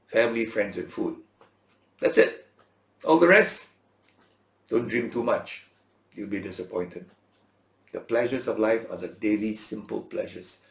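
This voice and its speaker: A man's voice: on the low side, gravelly. A man giving an informal Bible lesson as a teacher, conversational, posing rhetorical questions and checking in with his listeners. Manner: dramatizes imagined speakers' words in everyday language. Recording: roomy, echoing teaching space.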